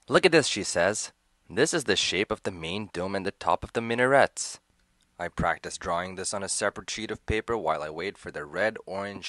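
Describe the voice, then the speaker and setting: Man with a low voice, dry close sound, expressive literary storytelling. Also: dry recording. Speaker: performer reading a literary piece. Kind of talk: dramatic reading of first-person memoir.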